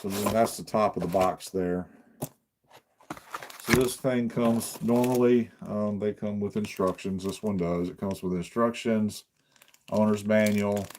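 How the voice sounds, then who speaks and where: Male unboxing host, deep register, casual and halting, thinks aloud; slightly noisy recording with packaging crinkles. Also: fairly even intonation. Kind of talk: casual product unboxing commentary.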